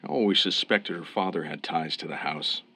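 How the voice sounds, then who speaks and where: An actor playing a calm, fair-minded police officer in a radio crime drama, measured and authoritative. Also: male voice, low voice, slightly rough, full character voice. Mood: reasonable, firm. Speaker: police interrogator. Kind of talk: old-time radio crime drama acting.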